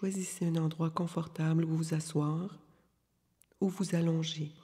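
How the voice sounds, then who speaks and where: A woman leading a guided yoga nidra meditation, giving calm, soothing, unhurried instructions with long pauses in a soft, breathy voice. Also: low-pitched. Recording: long reverberant hall-like echo.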